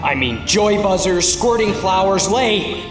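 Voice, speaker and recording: A man's voice: high-pitched, lively intonation, unhurried. A man playing a cocky young masked superhero who talks in a jeering way in an audio drama. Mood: mocking. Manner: mocking, jeering. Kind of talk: audio drama hero acting. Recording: echoey cavernous reverb, music underneath.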